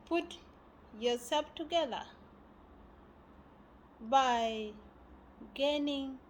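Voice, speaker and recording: A woman from South Sudan giving a spiritual talk, often pausing and searching for words. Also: sits high, slight background noise, poor audio. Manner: halting, searching for words with many fillers. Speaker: spiritual teacher.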